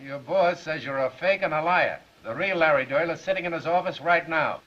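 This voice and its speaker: An actor playing a gruff, skeptical newspaper editor in a 1930s film, curt and given to barking orders. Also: male voice, a little high, a little fast, a bit bright, natural voice. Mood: curt, dismissive. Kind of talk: 1930s Hollywood film acting. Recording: muffled 1930s film soundtrack.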